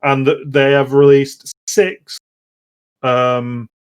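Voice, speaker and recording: A man in a casual chat, explaining things in a halting, rambling way. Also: medium pitch, little pitch movement, smooth. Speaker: podcast host.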